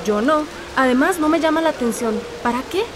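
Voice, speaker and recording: Actress as a high-voiced frank young woman. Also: brisk, a crowd in the background, natural voice. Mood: concerned, frank. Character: frank young woman bringing village news. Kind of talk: radio drama acting.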